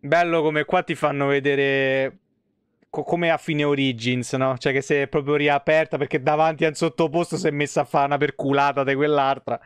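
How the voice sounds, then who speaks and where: A man's voice: fairly high pitch, fairly even intonation, moderate pace, clear, resonant tone, no room sound, studio quality. A man in his thirties with a Roman Italian accent, giving casual, slangy, sweary live commentary on a video game. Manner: slangy, swearing, muttering asides. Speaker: game streamer. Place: small room, indoors.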